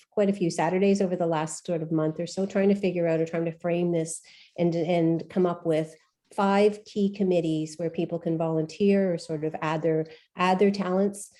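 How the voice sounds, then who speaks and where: A woman running a volunteer orientation, speaking haltingly with many restarts and repeated words. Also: on the low side, mellow. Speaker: media company CEO. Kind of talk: presenting at an online orientation.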